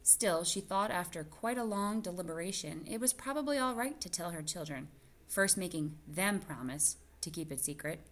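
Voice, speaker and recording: A woman reading a children's novel aloud in an expressive storytelling style. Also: lowish voice, normal speed. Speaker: guest reader of a children's novel.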